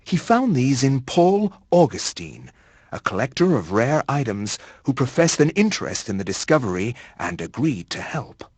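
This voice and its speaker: An actor playing a learned chronicler, narrating a horror game in a grave, measured and literary manner. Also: male voice, medium pitch, sing-song, darkish tone, breathy edge, no room sound.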